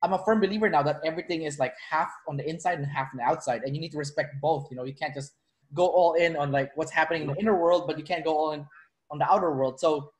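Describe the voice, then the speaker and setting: Guy from the philippines hosting a chat, kinda higher voice, rambly, restarts a lot. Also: quick pace. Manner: rambling, filler-heavy, many restarts. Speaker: interviewer, personality trainer. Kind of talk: casual video-call interview.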